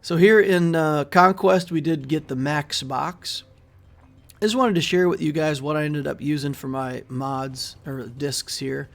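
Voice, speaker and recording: A middle-aged man hosting his game channel, giving relaxed, casual commentary over his gameplay with plenty of fillers, recorded at his desk indoors. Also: fairly high pitch, unhurried, vocal fry. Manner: relaxed, steady walkthrough with frequent fillers and trailing off. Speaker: mobile game channel host. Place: small room indoors.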